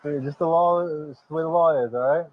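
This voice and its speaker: A man's voice: a little high, brisk, narrow, tinny audio. A man working as a police officer, giving firm, insistent, repeated orders in an exasperated way. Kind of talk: firm, repetitive commands at a scene.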